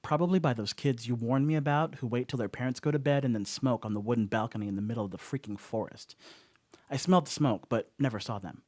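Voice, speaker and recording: A rattled stoner dog sitter, played by a man in a radio play monologue. His mid-range voice rambles conversationally through a strange mishap, animated and full of wry asides. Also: medium pitch, fast talker, close to an everyday voice. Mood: rambling, uneasy.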